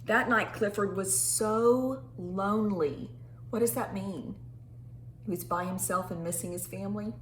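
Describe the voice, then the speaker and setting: A woman reading a picture book aloud to young children in an animated, teacherly way, pausing to ask simple questions and stretching words for emphasis. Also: medium pitch. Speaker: children's storytime reader and teacher. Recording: roomy echo with faint background noise.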